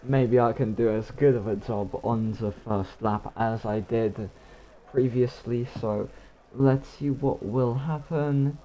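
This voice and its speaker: A young man commentating a live online racing league, hoarse with his voice nearly gone, rambling and talking to himself while he waits for action. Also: medium pitch, fairly even intonation, slow, dark tone, highs cut off.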